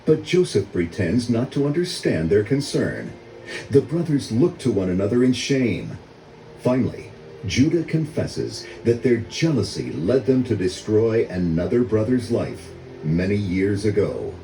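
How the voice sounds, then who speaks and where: A man's voice: on the low side, sing-song, measured pace, mellow. An older man giving scripted narration for a storybook read-along. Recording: roomy, distant, faintly noisy audio.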